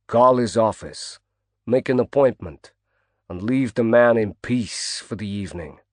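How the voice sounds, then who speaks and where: A man doing a gruff Brooklyn bodyguard. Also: fairly low pitch, unhurried, darkish tone, no echo, studio quality, lightly acted. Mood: growling. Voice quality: growling. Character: burly male bodyguard, curt and gruff.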